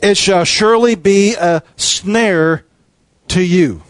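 A man preaching a sermon, his voice sitting a little higher than most men's. He hammers on repeated phrases with an emphatic cadence. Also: takes it slowly, no echo.

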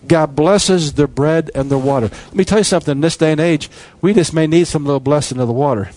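A man preaching a sermon, his voice sitting a little higher than most men's. He hammers on repeated phrases with an emphatic cadence. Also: fast, a little mellow.

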